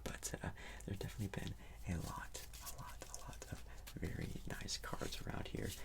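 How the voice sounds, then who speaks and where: Softly whispering man with gravelly creak. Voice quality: breathy whisper with low creaky undertone. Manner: casual, rambling salesman patter. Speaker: ASMR performer playing a sports store clerk. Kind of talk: soft-spoken whispered ASMR roleplay.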